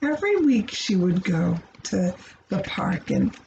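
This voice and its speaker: A woman reading a picture book aloud to children in an expressive, playful way, with asides to her young listeners. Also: medium pitch, a little slow, vocal fry, lo-fi sound, slight background noise. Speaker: children's storybook reader.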